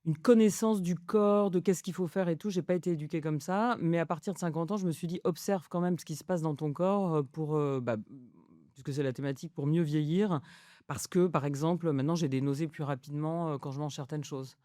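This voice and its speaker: A woman's voice: sits low, brisk, dark tone, dry recording, studio quality. A woman in her fifties, a food journalist chatting casually as a guest, hesitant with many fillers and easy laughs. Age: 50s. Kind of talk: casual conversation.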